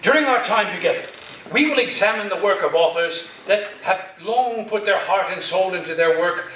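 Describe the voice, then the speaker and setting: A man in a staged play reading, playing an eccentric, grandiose literature professor with deliberate, dramatic pauses. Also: high voice, expressive, fairly bright tone. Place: room, live staged reading, recorded from afar. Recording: distant roomy stage recording, noisy.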